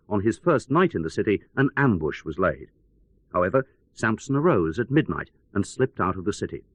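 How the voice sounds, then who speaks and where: A man's voice: mid-range voice, no echo, dull audio. A man playing a radio news correspondent, telling events as a dramatic, wry and emphatic report. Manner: wry, theatrical, emphatic storyteller.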